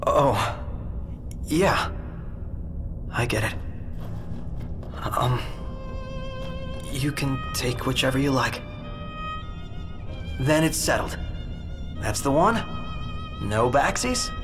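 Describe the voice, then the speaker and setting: A man's voice: mid-range voice, animated, very slow, long pauses, over music. A man playing a boyish, friendly, earnest young companion in a video game cutscene, talking in a casual, slightly hesitant way. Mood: tender, awkward.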